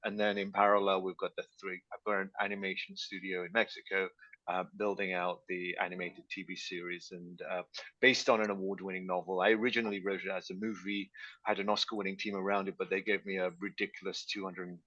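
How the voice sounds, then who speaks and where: A man's voice: low voice, level tone, a little fast, bright, forceful tone, no room sound, highs cut off. A man introducing his project on a video call, rambling with many fillers.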